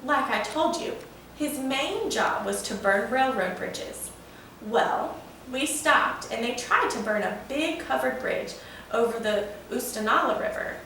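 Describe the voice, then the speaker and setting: A woman's voice: fairly high pitch, lively intonation, a little slow, fairly bright tone. A woman voicing a proud old male steam locomotive spinning a folksy, boastful yarn for a children's storybook. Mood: proud storytelling. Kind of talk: children's storybook read-aloud. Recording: roomy, echoing, distant mic with faint noise.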